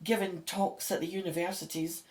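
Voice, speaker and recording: A woman's voice: on the low side, little pitch movement, moderate pace, a little mellow, close to an everyday voice. An actress playing a determined, diplomatic female Egyptologist in an audio drama. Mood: conciliatory.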